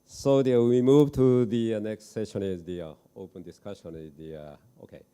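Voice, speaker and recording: Very dark-voiced, halting male moderator. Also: lowish voice, fairly even intonation, clear, resonant tone. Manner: halting, fragmented English. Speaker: session moderator. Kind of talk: unscripted chairing of a panel.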